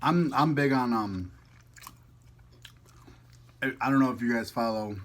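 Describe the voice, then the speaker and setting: A man's voice: medium pitch, unhurried, fairly bright tone. A man chatting casually as a vlog host, playful and slangy, rambling as he goes. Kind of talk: casual chat to camera.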